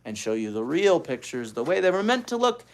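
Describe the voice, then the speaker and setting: An expressive storyteller reading a children's book aloud, pausing to explain things to young listeners. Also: medium pitch, rough recording. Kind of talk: animated read-aloud with asides to child listeners.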